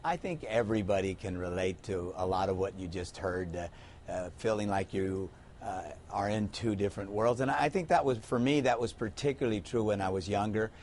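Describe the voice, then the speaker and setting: A man who is a mayor, speaking in a measured way with frequent hesitant pauses on a TV panel. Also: fairly low pitch, darkish tone, slight background noise. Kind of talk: TV panel discussion.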